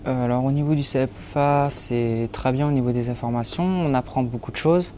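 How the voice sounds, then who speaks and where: A man's voice: mid-range voice, normal speed, mellow, faint music behind. A nineteen-year-old man, an apprentice baker, describing his training and goals with frequent hesitations. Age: young adult. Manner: hesitant, many fillers. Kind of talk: interview portrait.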